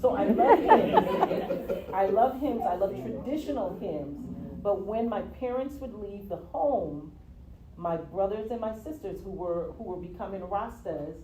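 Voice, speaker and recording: A woman's voice: mid-range voice, unhurried. Chatty woman, roomy and far off. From US Virgin Islands. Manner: expressive, laughs easily, repeats "yeah". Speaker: guest. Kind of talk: casual interview. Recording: roomy, from a distance, faint noise.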